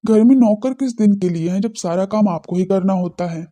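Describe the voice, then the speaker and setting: A woman's voice: medium pitch, moderate pace, warm tone, pitched below the performer's own voice, natural voice. A woman voicing brooding, wry young men of a family in an audio drama, muttering casually. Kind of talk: audio drama character dialogue.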